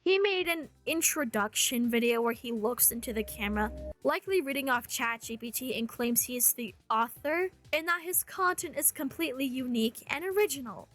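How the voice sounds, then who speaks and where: A woman's voice: sits high, animated, a little fast, fairly bright tone, soft background music. A woman delivering rant commentary in a sarcastic, blunt way with swearing. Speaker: video host, commentary creator.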